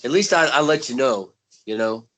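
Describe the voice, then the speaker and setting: A man's voice: medium pitch, lively intonation, normal speed, a bit bright. A man co-hosting a podcast in casual chat, blunt and teasing, with plenty of swearing. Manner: blunt, teasing, foul-mouthed. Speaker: podcast co-host.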